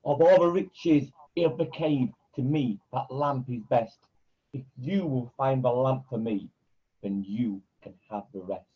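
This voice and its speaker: An actor playing a scheming pantomime villain who poses as a kindly uncle, speaking in theatrical, wheedling rhyme. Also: male voice, medium pitch, measured pace, husky, muffled recording. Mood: coaxing. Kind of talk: rhyming pantomime acting.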